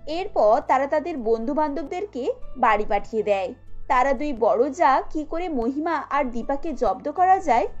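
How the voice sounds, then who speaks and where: A woman narrates a cartoon tale from a script. Her voice is high, and the recording is a bit muffled with a music bed. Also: darkish tone. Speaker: story narrator. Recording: dull lo-fi audio with music bed.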